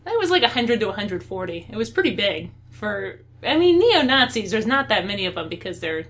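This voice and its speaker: A woman's voice: medium pitch, very animated, fairly quick, fairly bright tone. A woman co-hosting a podcast in casual chat, rambling and laughing often. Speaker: podcast co-host.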